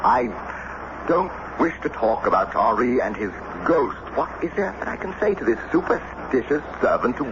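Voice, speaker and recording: An actor playing a stuffy, stubborn American diplomat and husband, pompous and blustering, with an American accent in an old radio drama. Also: male voice, mid-range voice, sing-song, normal speed. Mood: peevish, pompous. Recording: muffled, noisy old radio broadcast.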